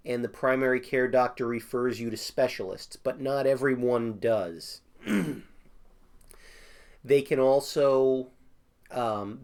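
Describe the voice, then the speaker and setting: Hoarse guy getting over a cold, just chatting through insurance stuff, keeps clearing his throat. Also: medium pitch. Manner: frequent throat clearing, off the cuff. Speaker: insurance agent. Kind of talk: casual explainer talk.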